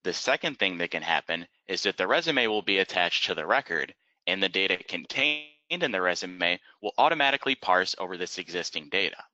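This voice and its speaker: A man narrating an instructional webinar as a software trainer, explaining methodically step by step in a close, dry, slightly dull recording. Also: deep voice, expressive, brisk, few pauses, dry recording.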